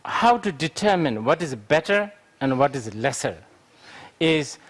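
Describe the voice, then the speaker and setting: A man answers questions at a Buddhist lecture, his voice sitting a touch high. He talks unhurriedly, thinking aloud with frequent fillers. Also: big pitch swings, unhurried.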